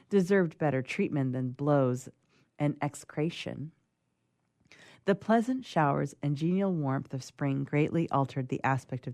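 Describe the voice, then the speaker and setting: A performer reading as Frankenstein's monster, a gentle, hopeful creature, in a formal, lyrical literary style. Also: medium pitch, no echo, clean studio sound, natural voice. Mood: elated, lyrical. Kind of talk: dramatic reading of classic novel.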